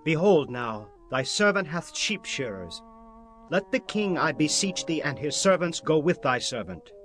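A resolute prince in a scripture drama. He speaks formally and commandingly in a raised, widely varying pitch, with music beneath. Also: very animated, measured pace, fairly bright tone. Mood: courtly persuasion. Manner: commanding, archaic formal speech. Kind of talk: dramatized audio Bible acting.

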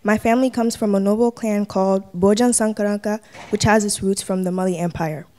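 A young woman in her teens, from Gambia, speaks in a soft-edged, mellow tone. She is a student introducing herself. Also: mid-range voice, fairly even intonation, fairly quick. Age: teens, high school sophomore. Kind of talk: prepared self-introduction.

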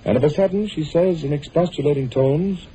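A man's voice: fairly high pitch, brisk, warm tone. A man announcing on 1940s radio, reading scripted copy and narration. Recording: muffled, noisy old 1940s radio broadcast.

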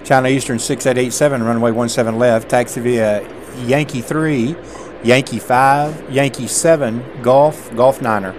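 A middle-aged man playing an air traffic controller, reading radio clearances with drawling, casual asides between calls. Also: mid-range voice, steady tone, moderate pace. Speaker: gameplay streamer. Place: small home room indoors.

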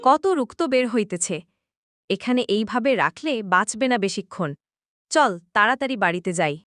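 Woman as a high, animated, kind young girl. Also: moderate pace, no room sound, soft background music. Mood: concerned, tender. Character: young girl, kind-hearted, caring, eager village child. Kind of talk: cartoon dialogue acting.

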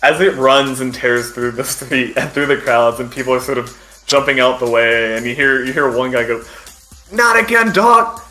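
Bright-voiced man narrating a game. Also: mid-range voice, flat delivery, soft background music. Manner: halting, descriptive. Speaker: game master. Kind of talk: improvised tabletop game narration.

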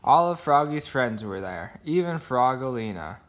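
A man's voice: mid-range voice, very animated, normal speed. A man reading a children's picture book aloud, voicing the sound words expressively. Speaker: storybook reader.

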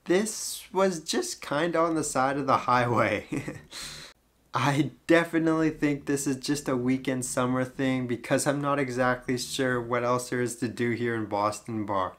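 A young man narrating his road trip vlog in a relaxed, easygoing way. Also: medium pitch, unhurried. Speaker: travel vlogger.

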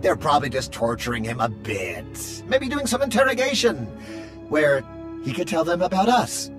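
An actor playing a chatty, fussy, cheerful and boastful droid aide in a video game, with exaggerated intonation. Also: male voice, on the high side, huge pitch swings, moderate pace, music in the background. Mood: breezy, blithe.

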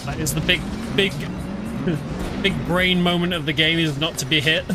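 A British man streaming a game, explaining it in a relaxed, filler-heavy casual commentary. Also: a little high, moderate pace. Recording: game music behind.

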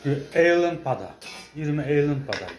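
A man with an Uzbek accent, a non-native speaker chatting over a meal at a restaurant in simple, halting phrases. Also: on the high side, animated, darkish tone, faint music behind. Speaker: friend at the table. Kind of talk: casual chat over a meal. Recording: echoey restaurant with clinking dishes.

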